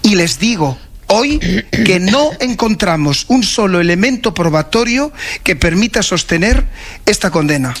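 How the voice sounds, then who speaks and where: Man, Spanish accent, high-pitched, solemn, formal, emphatic, saddened, live interview. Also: animated, measured pace, fairly bright tone. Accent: Spain. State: saddened, shaken. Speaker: prosecutors' association president, interviewee. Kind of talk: live radio phone interview.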